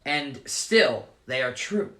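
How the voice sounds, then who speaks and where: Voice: animated, bright, forceful tone, reverberant. A storyteller voicing a stubborn male leprechaun cobbler in an attempted Irish accent. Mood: wistful. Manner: high, lilting, quick to anger.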